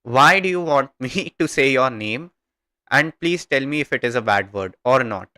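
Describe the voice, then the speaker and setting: A man's voice: medium pitch, expressive, moderate pace, bright, forceful tone, no echo. A man with an Indian accent chatting casually with viewers on a gaming livestream, informal and hesitant, often repeating himself.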